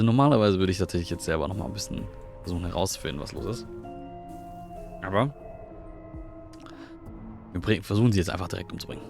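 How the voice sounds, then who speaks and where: Very deep, gravelly streamer guy. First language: German. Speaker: livestreamer playing a visual novel. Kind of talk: casual live commentary, reading game choices aloud.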